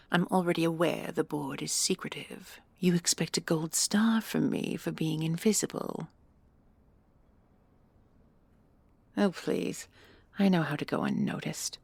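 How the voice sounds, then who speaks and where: A woman's voice: deep voice, creaky, husky, no echo, heavily acted. A woman playing a proud, sardonic commandant and inventor in a sci-fi audio drama, with a dry, haughty manner. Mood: dismissive, sardonic. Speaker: voice actor and creator. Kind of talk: sci-fi audio roleplay acting.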